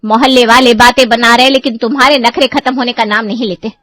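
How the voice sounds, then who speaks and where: A woman's voice: on the high side, steady tone, fairly quick, sharp tone, dry recording, studio quality. A woman playing an exasperated middle-aged mother in a cartoon story. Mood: exasperated, nagging. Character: exasperated middle-aged mother scolding her grown children. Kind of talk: Hindi cartoon story voice acting.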